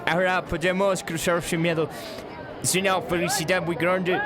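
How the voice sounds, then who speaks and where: A man playing a relieved, happy young East German citizen giving earnest, measured testimony in a radio news drama. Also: high voice, level tone, a crowd in the background, natural voice. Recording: very noisy outdoor street recording.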